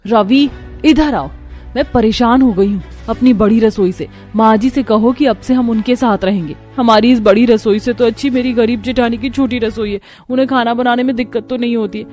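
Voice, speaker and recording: A woman's voice: on the high side, normal speed, darkish tone, lightly acted. A woman playing a fretful, competitive rich younger daughter-in-law in a cartoon family story. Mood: frustrated. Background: background music.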